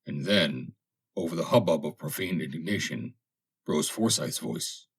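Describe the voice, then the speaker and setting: A male audiobook narrator with a very low, rough-edged voice. He reads scripted fiction dramatically, with lively movement in his pitch. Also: a little slow.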